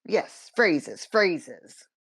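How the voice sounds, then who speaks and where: A woman's voice: lowish voice, very animated, very slow, a bit bright, husky, dry recording, heavily acted. A woman playing a contemptuous son in a stage drama, with a sardonic, cutting delivery. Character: contemptuous, sneering son scorning the father. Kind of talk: theatrical stage play acting.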